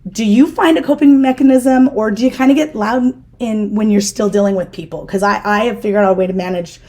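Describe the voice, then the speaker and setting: A middle-aged woman hosts a casual podcast interview. Her voice sits at an ordinary middle pitch, but she speaks loudly, firmly and directly. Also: fairly even intonation, fairly quick. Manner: loud, firm and direct. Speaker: podcast host.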